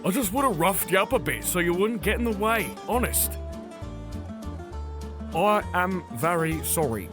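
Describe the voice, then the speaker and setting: A man playing a bumbling, sheepish thug in an audio drama, halting and blustering. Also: sits high, expressive. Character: bumbling, sheepish brutish ex-gang thug, Mary's brother. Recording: music under the voice.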